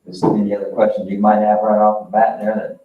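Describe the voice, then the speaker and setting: Male, fairly low and flat, casually chairing discussion; distant, muffled pickup. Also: fast talker. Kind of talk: casual meeting talk. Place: echoey meeting room, picked up from afar. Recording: roomy, distant, muffled audio with faint noise.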